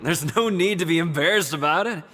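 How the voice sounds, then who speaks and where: A man's voice: on the high side, fairly quick, natural voice. An actor playing an encouraging, theatrical man who coaxes expressively in an anime game, recorded with long reverb. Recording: long reverberant hall, faint noise.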